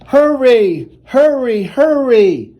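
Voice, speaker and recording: A man reading the part of excited, urgent firemen calling out orders in a children's storybook. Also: very high voice, drawn-out words, muffled recording, pitched above the performer's own voice, exaggerated voice.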